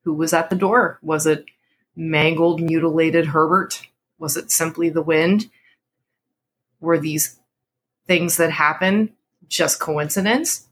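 A woman podcast host who reads stories dramatically and chats casually. Her voice is somewhat low for a woman, with a bright, crisp, forceful edge. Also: slight background noise. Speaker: podcast host and story reader. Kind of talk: dramatic story narration and casual podcast chat.

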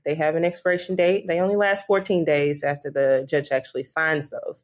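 A woman from Houston, a guest associate attorney, explaining steadily and matter-of-factly in a podcast interview. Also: on the low side. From Houston, Texas.

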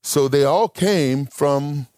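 A male preacher working through a Bible question in front of his listeners, speaking in a slow, unhurried way. He stops often and restarts in short phrases, as if thinking aloud. The sound is close and dry, with no room around it. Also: on the high side, dark tone, no room sound. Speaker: preacher teaching a congregation. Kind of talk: Bible teaching talk.

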